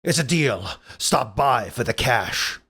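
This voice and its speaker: A fat, self-important villain acted out by a man blusters through a comic-book script. He is quick to outrage, and his pitch swings widely as he speaks. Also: lively intonation, bright, forceful tone, slightly rough, exaggerated voice. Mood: sly, suspicious. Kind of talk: amateur comic-book script read-aloud.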